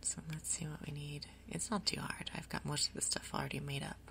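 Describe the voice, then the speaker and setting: A woman hosting a gaming video in a soft, breathy ASMR whisper, explaining things gently and without hurry. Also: low voice, little pitch movement, a little fast. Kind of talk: whispered ASMR game commentary.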